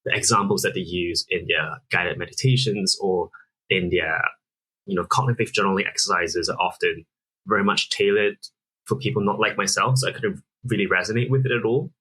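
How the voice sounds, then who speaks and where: A man's voice: deep voice, steady tone, fairly quick, bright, forceful tone, husky, poor audio. A man in his thirties from Singapore, a founder answering interview questions earnestly, explaining at length with frequent restarts. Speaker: app founder guest.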